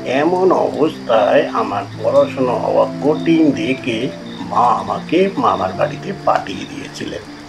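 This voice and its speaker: Slow, creaky older village storyteller. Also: male voice, medium pitch, low-quality recording. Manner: conversational, emphatic, addressing his listeners. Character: older village man telling an uncanny tale from his youth. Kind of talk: audio-story dramatic storytelling.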